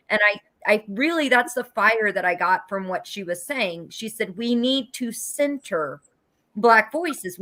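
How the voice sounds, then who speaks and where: A woman's voice: mid-range voice, a bit bright. A woman with an American accent talking casually on a political panel, earnest and emphatic in how she makes her points. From United States. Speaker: political talk show panelist.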